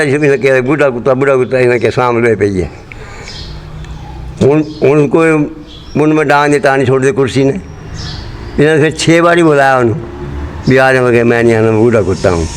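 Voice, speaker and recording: A very old man with a Haryanvi accent, telling memories in a blunt, earthy, rambling way in an interview. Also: medium pitch. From Rohtak, Haryana, pre-partition India. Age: 80s or older. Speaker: interviewee, partition migrant. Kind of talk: spoken reminiscence in interview. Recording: clearly noisy location sound.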